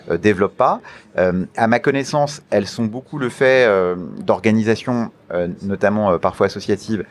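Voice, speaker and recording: A man with a fairly low voice answers interview questions in a formal register. He speaks deliberately, often halting mid-sentence, then stresses his key phrases by repeating them. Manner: deliberate, halting with many hesitations, emphatic repetition of key phrases. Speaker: interview guest, media regulator official.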